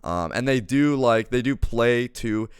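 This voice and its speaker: A man's voice: medium pitch, measured pace, clean tone, no room sound, clean studio sound. A man co-hosting a casual, enthusiastic review, speaking effusively with slangy wording and emphatic repetition. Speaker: co-host giving a movie review.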